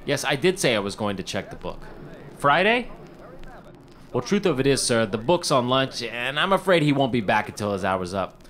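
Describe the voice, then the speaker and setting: An actor playing an eager, fast-talking struggling screenwriter at a hotel desk in a period radio drama, wisecracking and pleading, stammering when flustered. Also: male voice, mid-range voice, big pitch swings, fairly bright tone, slight background noise. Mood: wry, evasive.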